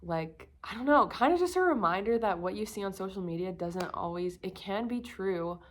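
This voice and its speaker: A young woman with a croaky, slightly sick voice, talking candidly and rambling to camera in a casual vlog. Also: medium pitch, expressive, moderate pace. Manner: rambling, filler-heavy, candid. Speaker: vlogger. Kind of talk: casual confessional talk to camera.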